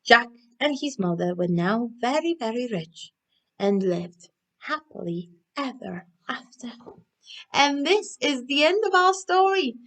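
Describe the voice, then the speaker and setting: A woman presenting stories and crafts to young children in an animated, encouraging way, often asking them questions. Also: fairly high pitch. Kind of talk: interactive children's storytelling and instruction.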